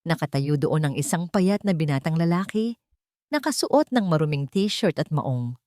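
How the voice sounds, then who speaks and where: Low-pitched Filipino woman narrating, dry sound. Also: animated, normal speed, warm tone, dry recording. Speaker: story narrator. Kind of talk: dramatic scripted narration of a Tagalog love story.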